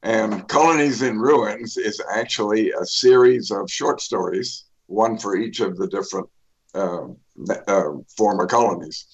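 An older man, an author, telling his life story in a radio interview, pausing often with fillers and restarts. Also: on the low side, muffled recording. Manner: rambling, many fillers and restarts. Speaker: author guest.

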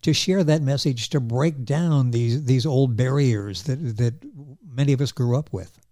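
Mellow-voiced older male host. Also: medium pitch, a little slow, no room sound, studio quality. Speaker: talk show host. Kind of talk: interview hosting.

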